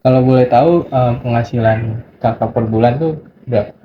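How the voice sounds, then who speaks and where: A man's voice: medium pitch, a little fast. A man acting as interviewer, putting questions in a casual conversational style. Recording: muffled, noisy lo-fi recording.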